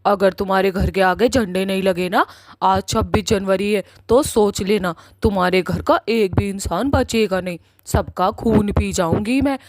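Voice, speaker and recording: Bossy comic-horror witch, played by a woman: irate, threatening, emphatic, mid-pitched, recorded very dry. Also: normal speed, no echo, close to an everyday voice. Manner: scolding, emphatic, repeats words for menace. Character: angry, foul-mouthed village witch, bossy and threatening. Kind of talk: Hindi cartoon horror-comedy acting.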